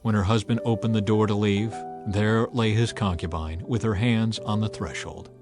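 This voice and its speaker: A man narrating a dramatized scripture audiobook with measured, dramatic storytelling and emphatic swells. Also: on the low side, steady tone. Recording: music bed under the voice.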